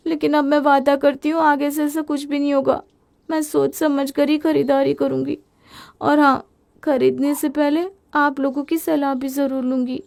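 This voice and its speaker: A woman's voice: sits high, creaky, pitched above the performer's own voice. A woman doing a whiny, wheedling young wife. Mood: apologetic. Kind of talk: storybook voice acting.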